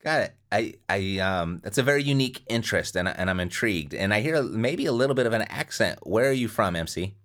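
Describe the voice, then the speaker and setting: A man with a fairly low voice hosts a podcast in a punchy, motivational way. He leans on repetition to hammer his points home, and he welcomes a guest with upbeat enthusiasm. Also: expressive, fairly quick, hardly any pauses, fairly bright tone. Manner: motivational, repeats phrases for emphasis. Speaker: podcast host. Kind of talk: energetic podcast monologue and interview.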